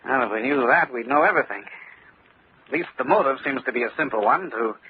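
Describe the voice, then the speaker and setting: An actor playing a confident, brisk male insurance investigator in an old-time radio drama, with a clipped, commanding manner. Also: medium pitch, lively intonation, slight background noise, close to an everyday voice. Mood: determined. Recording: muffled vintage radio recording.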